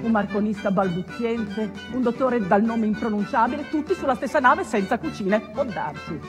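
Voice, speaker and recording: A woman playing a flamboyant, sardonic master of ceremonies on an ocean liner, showy and declamatory, with quick dry asides. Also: on the high side, expressive, over music, close to an everyday voice. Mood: sardonic, playful. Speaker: stage actor. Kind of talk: theatrical comic announcement.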